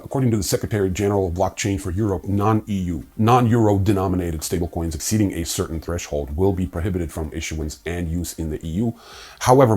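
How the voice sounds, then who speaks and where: Male video host, deep pitch, rapid delivery, scripted explainer with casual asides. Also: a little mellow, husky. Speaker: host of a crypto news video.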